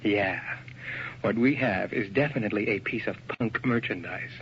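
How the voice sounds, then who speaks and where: An actor playing an enthusiastic, slangy photographer's assistant in a radio drama, hip and wisecracking. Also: male voice, lowish voice, moderate pace, natural voice. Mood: enthusiastic, informative. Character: breezy, enthusiastic young male photographer's assistant. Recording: noisy, dull old radio recording.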